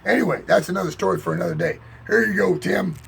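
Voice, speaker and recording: A man's voice: sits high, fast talker, vocal fry, a bit bright, slight background noise. A man telling a rambling, boastful tall tale in a folksy drawl, as if chatting on a porch. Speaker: storyteller in character. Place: outdoors on a porch.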